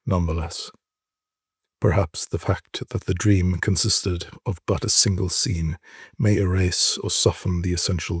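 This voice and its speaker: Male narrator, low and very husky, solemn and deliberate literary storytelling, dry close sound. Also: no echo. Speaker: narrator reading short stories. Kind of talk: dramatic literary reading.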